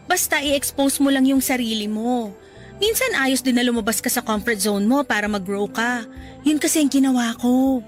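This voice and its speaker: A woman's voice: sits high, lightly acted. A woman with a Filipino accent playing a playful, encouraging young volunteer in a conversational radio drama. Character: friendly, encouraging, outgoing young woman volunteer, a self-described introvert. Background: background music.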